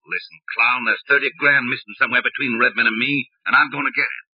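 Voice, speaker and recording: A man playing a grim, threatening gambler with an American Western drawl, blunt and rough-voiced, in 1940s radio drama style. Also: mid-range voice, fairly even intonation, fast talker, dry recording, lightly acted. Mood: angry, threatening. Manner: blunt, menacing. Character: grim, threatening Western tough-guy gambling operator with pistol. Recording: muffled old radio recording, dry.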